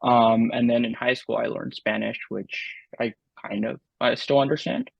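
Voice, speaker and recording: A man speaking as an interview guest in a casual, halting way, full of ums and likes. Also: mid-range voice, fairly even intonation, creaky, slightly rough, no room sound, muffled recording. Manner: halting, filler-heavy, lots of "like" and "um". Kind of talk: casual conversation.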